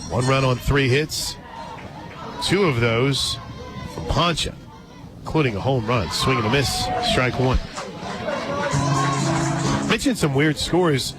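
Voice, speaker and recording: A man calling softball play-by-play live on the radio, mixing clipped pitch calls with casual asides. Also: slow pace, long pauses. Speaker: sports radio play-by-play announcer. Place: radio booth at an outdoor softball stadium. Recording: loud crowd and music behind. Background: loud ballpark crowd and music.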